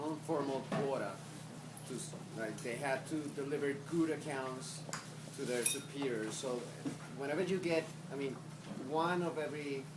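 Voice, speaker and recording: A man asks a long question from the audience, hesitating and circling back on himself. He is far from the camera in an echoey auditorium, under loud background noise. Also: medium pitch. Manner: rambling, hesitant. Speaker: audience member asking a question. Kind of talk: public Q&A question. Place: university auditorium.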